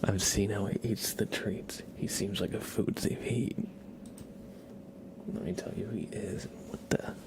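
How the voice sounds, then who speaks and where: A man speaking in a soft, gravelly whisper, chatting casually and gently as an ASMR live streamer greeting viewers. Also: on the low side, fairly even intonation, measured pace, noisy background. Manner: gentle, rambling chat with viewers and pet. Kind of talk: ASMR livestream casual chat.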